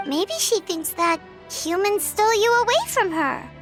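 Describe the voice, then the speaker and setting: Casting: squeaky curious little companion, very high, childlike, game dub. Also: female voice, expressive, a little slow, fairly bright tone, soft background music, heavily acted. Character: tiny floating girl companion, chirpy and curious. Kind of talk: video game voice acting.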